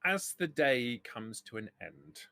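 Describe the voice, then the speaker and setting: A man narrating a tabletop game as game master, haltingly, often repeating words as he thinks. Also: medium pitch, big pitch swings, vocal fry, sharp tone, no room sound, clean studio sound.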